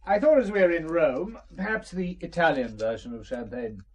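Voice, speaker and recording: An actor playing a suave, flamboyant gentleman in an audio spy drama, with an urbane, drawn-out delivery. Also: fairly high pitch, lively intonation. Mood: breezy, suave. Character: suave, flamboyant gentleman admirer bearing champagne.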